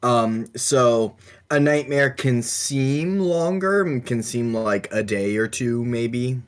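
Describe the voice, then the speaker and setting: A man co-hosting a casual discussion podcast, explaining his thoughts in a halting, thoughtful way. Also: mid-range voice, expressive. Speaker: podcast co-host.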